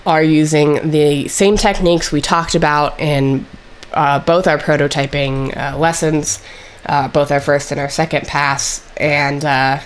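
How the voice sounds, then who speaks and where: A woman with a notably low voice, still getting over a cold, talks through a software tutorial as the instructor. She explains step by step in a measured way, often pausing on "uh" and "basically". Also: a little hiss or hum. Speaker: course instructor. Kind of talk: screen-recorded software tutorial.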